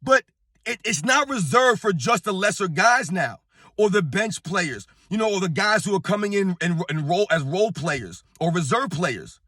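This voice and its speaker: A middle-aged man hosting his own sports channel, talking straight to the viewers in a hoarse, strained voice. He talks emphatically and keeps circling back to his point. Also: sits high, very animated, normal speed, pushed and bright, dry recording, studio quality. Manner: emphatic, repetitive, addresses viewers as guys. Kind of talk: casual talking-head rant. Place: on vacation at a beach.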